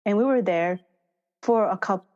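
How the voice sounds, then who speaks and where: A woman's voice: mid-range voice, normal speed, a little mellow, lo-fi sound. A woman with an American accent speaking as a podcast guest in an informal, chatty interview style. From Thailand and United States. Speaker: podcast guest, farmer education manager. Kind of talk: conversational interview.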